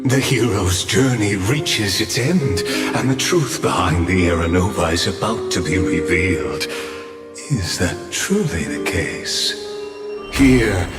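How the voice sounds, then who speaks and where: Slow, low-voiced synthetic scholar. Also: male voice, lively intonation. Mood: theatrical, polite. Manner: theatrical, eloquent, formally polite. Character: grandiose, courteous synthetic male scholar and witness. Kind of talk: scripted game dialogue. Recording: roomy echo, music behind.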